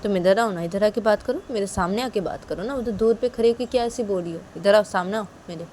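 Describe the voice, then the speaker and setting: A woman voicing an angry young mother who scolds her child in a loud, nagging way. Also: medium pitch, quick pace, slight background noise, lightly acted. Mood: stern scolding. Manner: scolding, repeating questions.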